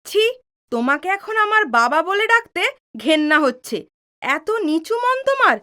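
A woman playing a stubborn, hot-tempered young daughter in an audio drama, sharp and accusing. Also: very high voice, big pitch swings, measured pace, full character voice. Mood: outraged. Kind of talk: Bengali audio drama acting.

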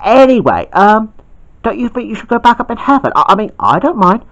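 A woman's voice: on the high side, sing-song, a little fast, a bit bright, dull audio. A woman voicing a fumbling, kindly older man who keeps tripping over names, in a homemade toy roleplay. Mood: fond. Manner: hesitant, stumbling over names.

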